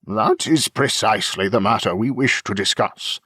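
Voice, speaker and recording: A man voicing a polite, earnest monk with a measured, formal, conciliatory way of talking in a fantasy audiobook. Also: lowish voice, pushed and bright, husky, no room sound, studio quality. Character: polite, earnest male monk, mild pleader. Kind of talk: fantasy audiobook character acting.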